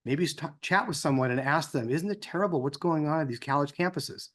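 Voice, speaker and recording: Higher-pitched American man giving an informal speech. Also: brisk, a bit bright. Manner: conversational, acts out dialogue in anecdotes. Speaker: organization co-founder giving a speech. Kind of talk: informal speech with personal anecdotes.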